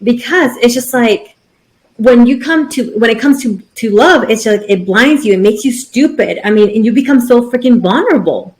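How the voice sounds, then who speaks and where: A woman's voice: a little high, animated, normal speed, low-quality recording. A woman in her thirties co-hosting a podcast, talking in a rambling, casual way with many restarts. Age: 30s. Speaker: podcast co-host. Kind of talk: casual chat.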